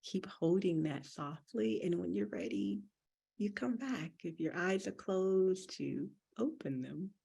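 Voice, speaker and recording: A woman leading a guided breathing meditation as a teacher, with gentle, deliberate, soothing instruction. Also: on the low side, lively intonation, gravelly, breathy edge.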